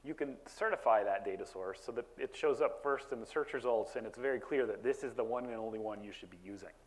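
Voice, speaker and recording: A man giving a conference talk about software deployment to a live audience, explaining with frequent fillers and restarts and a naturally loud voice. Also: medium pitch, fast talker, rough recording. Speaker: conference presenter. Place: conference session room.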